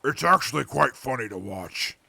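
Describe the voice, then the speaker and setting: Man acting a very gravelly, cold wasteland cynic. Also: mid-range voice, animated, moderate pace, bright, forceful tone, dry recording, heavily acted. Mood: grim, callous. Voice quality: gravelly, husky. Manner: curt, dry, callous. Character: gruff, cold, sardonic, short-tempered male wasteland traveller. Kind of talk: post-apocalyptic audio drama acting.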